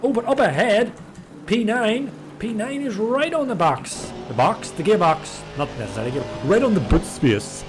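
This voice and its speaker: A man giving chatty, excitable live commentary on an online race, stumbling over drivers' names. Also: high voice, normal speed, over music. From Ireland. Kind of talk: live sim racing commentary.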